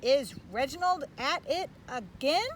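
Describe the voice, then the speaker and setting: A woman voicing a gruff, blustering, folksy father who manages a losing baseball team, in a storybook read-aloud. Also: high-pitched, animated, measured pace, pitched above the performer's own voice. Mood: exasperated. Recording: clear background noise.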